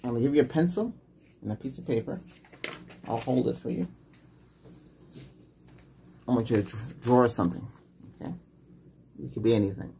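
A man's voice: mid-range voice, lively intonation, moderate pace, creaky, pauses often, mellow, slight background noise. A middle-aged man improvising an ASMR doctor roleplay, giving short, simple questions and instructions as in a medical exam. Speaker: ASMR role-player acting as ER doctor. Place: small home room set up as clinic.

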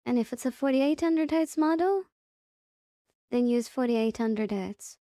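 A woman presenting a casual software tutorial step by step, unhurried and thinking aloud as she goes. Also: fairly high pitch, no room sound, studio quality.

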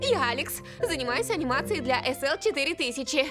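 An excitable young woman animator in a cartoon. Her voice is very high and swings widely as she chatters in nervous, slangy bursts. Music plays underneath. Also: brisk. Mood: excited, nervous. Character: bubbly, nervous young female game animator. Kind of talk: cartoon dub acting.

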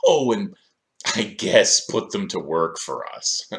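A man's voice: low-pitched, lively intonation, takes it slowly, a bit bright. A man in his thirties delivering scripted video essay commentary in a sardonic, questioning manner, recorded in a small room.

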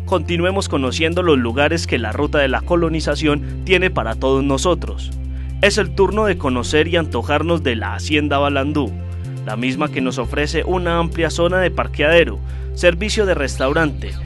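A man's voice: on the high side, normal speed, music in the background. A man with an Antioquian Colombian accent hosting a travel show in an effusive, promotional way. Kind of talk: scripted travel narration and on-location chat.

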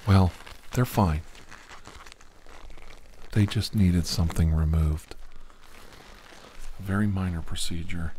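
A middle-aged man playing a secretive, methodical doctor in an ASMR medical roleplay, speaking softly in a halting, breathy, partly whispered voice. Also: sits low, slight background noise. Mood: calm, guarded. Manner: slow, halting, hushed delivery. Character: secretive, methodical male doctor examining a patient. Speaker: ASMR performer.

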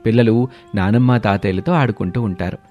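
A man narrating a cartoon moral story in a steady, plain storytelling manner. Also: on the low side, fairly quick, highs cut off, faint music behind.

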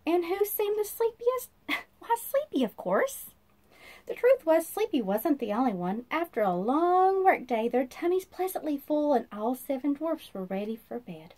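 A woman in her thirties reading a children's storybook aloud in an expressive, sing-song manner, as if to young listeners. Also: high-pitched, unhurried. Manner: sing-song, animated, asks listeners questions. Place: small indoor room.

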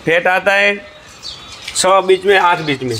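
A man's voice: high-pitched, a little slow, distant mic, noisy background. A man in his thirties, a dairy farmer, giving short plain answers outdoors on his farm.

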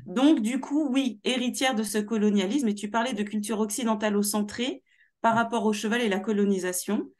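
A woman's voice: on the high side, steady tone, a little fast, darkish tone. Woman explaining on a video call. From Martinique heritage, born in Paris. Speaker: guest speaker. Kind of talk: conversational interview on a video call. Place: small room at home.

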